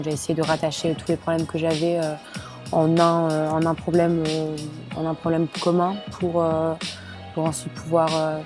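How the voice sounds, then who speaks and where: A young woman in her late teens from Belgium, telling her own story with many pauses, fillers and restarts. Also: low voice. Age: young adult. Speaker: student sharing her experience. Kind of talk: personal testimony to camera.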